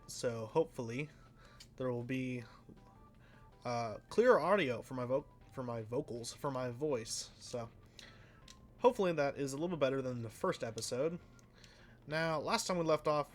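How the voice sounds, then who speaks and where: A man casually commenting while he plays a racing game, rambling and self-deprecating, with a flat, slightly nasal voice that trails off into mumbles. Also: mid-range voice. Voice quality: sounds flat and a bit nasal, by his own account. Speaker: let's play host.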